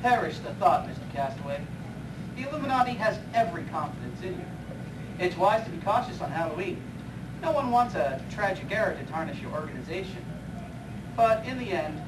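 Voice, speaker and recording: A suave, courteous male conspirator played by a man speaks in a high voice in a live radio play. The recording is distant, with clear noise. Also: expressive, fairly quick. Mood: smooth, menacing. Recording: echoey noisy hall, distant mic.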